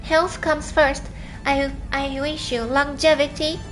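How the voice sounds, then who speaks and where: A high-pitched woman with a Japanese accent talks on a live stream from home. She speaks quickly and earnestly, often restarting her sentences as she reads and answers viewer comments. Soft music plays faintly in the background. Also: little pitch movement, low-quality recording. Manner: earnest, fast-talking, with halting restarts. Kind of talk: casual live stream chat.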